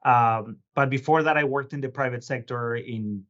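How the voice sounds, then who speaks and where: A middle-aged man from Colombia, a guest urban planner giving measured explanatory answers with frequent filler sounds. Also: medium pitch, fairly bright tone, highs cut off. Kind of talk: podcast interview answers.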